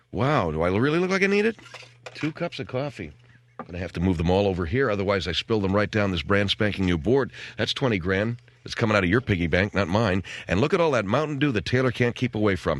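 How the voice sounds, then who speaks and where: Wry American radio host, dry studio sound. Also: male voice, fairly low pitch, a bit bright, dry recording. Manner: wry, grumbling, sardonic. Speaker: radio talk show host. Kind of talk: casual rambling comedy talk radio. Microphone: close studio microphone.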